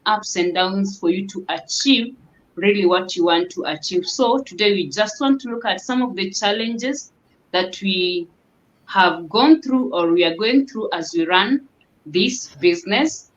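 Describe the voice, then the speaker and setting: A woman's voice: medium pitch, a little slow. A woman hosting a casual online panel chat and handing the word to each guest. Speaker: host of a business chat series. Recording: dull lo-fi sound.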